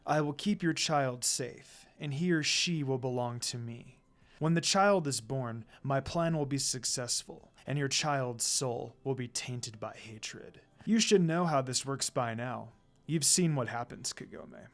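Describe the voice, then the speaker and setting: A man performs a cold, scheming villain for a fan fiction story. He speaks in a stern, measured, commanding manner, lower and quieter than the narration. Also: soft background music, lightly acted. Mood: menacing, commanding.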